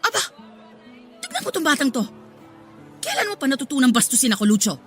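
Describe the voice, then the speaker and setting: A woman's voice: high-pitched, huge pitch swings, fast talker, fairly bright tone, full character voice. A hard-working market vendor and mother in a Tagalog radio drama. She pleads and scolds in an exasperated, motherly way, with faint music underneath. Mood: hurt, angry.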